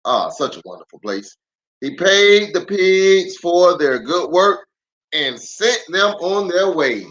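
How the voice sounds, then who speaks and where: A man with a slightly high-set voice hosts a storytime for children. He speaks very slowly, stretching out words for emphasis. His delivery is animated and chatty, addressed straight to the viewers. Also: lo-fi sound. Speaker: pastor hosting a children's storytime. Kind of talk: livestream greeting and read-aloud storytelling.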